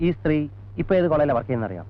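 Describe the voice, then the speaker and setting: A brisk, insistent police detective acted by a man questions people in a film drama. He talks fast and businesslike at a middle pitch, on a slightly dull old soundtrack. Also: big pitch swings, close to an everyday voice. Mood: inquiring. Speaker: actor in a film. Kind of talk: 1980s film drama acting.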